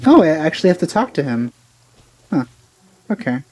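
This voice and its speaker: A man's voice: on the high side, big pitch swings, moderate pace, mellow, rough recording. A man hosting a let's play, giving casual, hesitant, rambling commentary as he plays. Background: faint game music.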